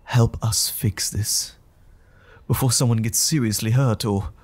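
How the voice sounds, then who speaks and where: A man playing a troubled, apologetic gentleman scientist in an audio roleplay drama, speaking in a formal, halting, anxious way. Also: medium pitch, steady tone, natural voice. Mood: apologetic, pleading.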